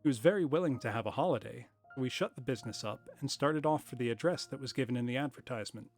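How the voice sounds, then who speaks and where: A man's voice: mid-range voice, fast, darkish tone, faint music behind, lightly acted. A man reading aloud, voicing a talkative middle-aged shopkeeper in a put-on period accent as he tells his story.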